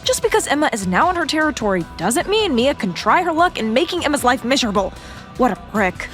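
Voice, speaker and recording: A sharp-tongued young woman who mocks and gossips with open scorn, played by an actress. Her high voice has a very lively pitch, and soft music sits under this audio drama. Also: fast talker. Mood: scornful.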